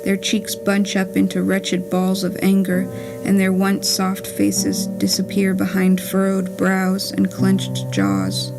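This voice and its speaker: A woman plays a wry, whimsical letter writer speaking from beyond, in a poetic puppet-theater monologue. Her mid-pitched voice has a clear creak at its edges. She reads deliberately and with measure, over faint music. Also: poor audio. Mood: wry, wistful. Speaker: writer and narrator reading her play. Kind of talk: scripted poetic monologue, letter read aloud.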